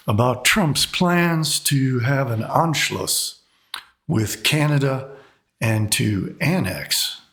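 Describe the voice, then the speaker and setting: A middle-aged or older man with a slightly hoarse voice, giving an unscripted commentary monologue with halting, deliberate emphasis. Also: medium pitch, a bit bright. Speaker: host of an online commentary video.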